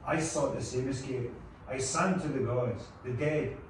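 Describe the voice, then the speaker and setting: A man's voice: mid-range voice, measured pace, a little hiss or hum, close to an everyday voice. Man playing a solemn mythic singer, echoey room. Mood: solemn warning. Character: calm, steady, mournful mythic male singer. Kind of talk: dramatic table-read acting. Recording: very echoey room, distant mic.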